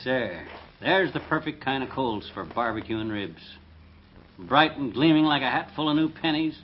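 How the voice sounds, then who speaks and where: A man playing an excitable, boastful husband in old radio comedy, folksy and self-important, with a husky voice. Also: mid-range voice, moderate pace, slight background noise, lightly acted. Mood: proud. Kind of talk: 1950s radio comedy acting. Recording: dull, lo-fi old radio recording.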